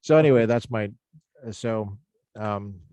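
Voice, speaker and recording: A middle-aged man hosting a podcast, telling stories with pauses and throwing in punning jokes. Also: lowish voice, mellow, clean tone, no room sound, muffled recording. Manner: halting anecdote, punning jokes. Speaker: podcast host. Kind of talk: casual interview conversation.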